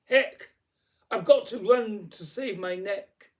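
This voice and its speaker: A man voicing a bossy, jealous young Cinderella in a storybook poem, loud, demanding and exclamatory. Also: mid-range voice, expressive, slow pace. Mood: demanding, exasperated.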